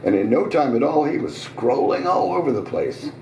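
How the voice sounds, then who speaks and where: A man hosting a live reading, hoarse, telling his own short story in a relaxed, conversational way with small asides. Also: on the high side, moderate pace, slight background noise. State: hoarse, voice getting raspy. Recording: roomy, distant restaurant recording.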